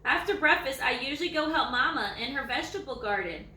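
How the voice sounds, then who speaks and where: A woman's voice: sits high, expressive, quick pace, bright, forceful tone, roomy sound, recorded from a distance, low-quality recording. A woman voicing a lazy, self-important old male ranch hound dog from a storybook, in a folksy, self-satisfied way.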